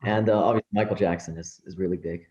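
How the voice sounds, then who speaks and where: A man's voice: low voice, fairly even intonation, quick pace, a little mellow, dull audio. A middle-aged man who also speaks Farsi natively, talking earnestly and casually as a podcast interview guest. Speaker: interview guest, business professional and community organizer. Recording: roomy echo.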